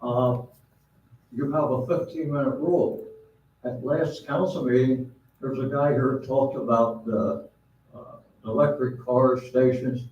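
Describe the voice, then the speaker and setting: A man's voice: medium pitch, steady tone, measured pace, slight background noise. A man speaking at public comment in a council meeting, blunt and complaining, with hesitant fillers. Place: echoey council chamber. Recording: dull, echoey hall from far off.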